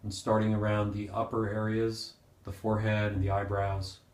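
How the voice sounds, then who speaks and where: A man leading a guided meditation as a teacher, speaking gently and unhurriedly with pauses. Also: lowish voice, completely flat delivery. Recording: roomy, echoing sound.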